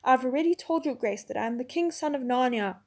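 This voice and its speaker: A woman's voice: high-pitched, lively intonation, brisk, darkish tone. Brief: stern, formal young prince, read by a woman.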